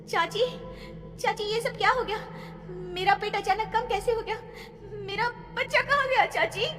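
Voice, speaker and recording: An anxious young woman put on by a female actor pleads in a very high, alarmed voice for a horror cartoon. She sounds distant and echoey, with music clearly underneath. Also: normal speed. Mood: panicked. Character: distressed young pregnant woman, anxious niece.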